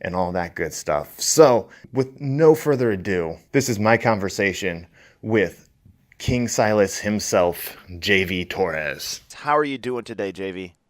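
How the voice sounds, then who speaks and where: Male podcast host, lower register, casual and informal, introducing and interviewing guests. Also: lively intonation, measured pace. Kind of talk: scripted intro and interview chat.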